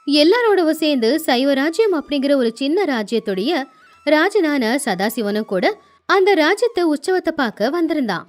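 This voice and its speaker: Female moral-tale narrator, high-pitched and expressive, storytelling delivery over soft background music. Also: moderate pace.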